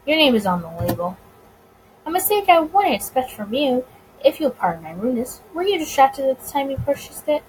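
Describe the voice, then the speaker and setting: A woman's voice: sits high, very animated, a little fast, darkish tone, poor audio. A woman voicing a suave, smug male bootlegger in a visual novel, courteous, wordy and self-assured. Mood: smugly polite. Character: suave, smug, teasing male gangster bootlegger of 1920s America.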